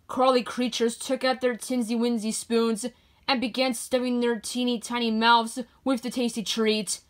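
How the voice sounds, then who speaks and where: A woman narrating a children's picture book aloud in an expressive storytelling manner. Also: fairly high pitch.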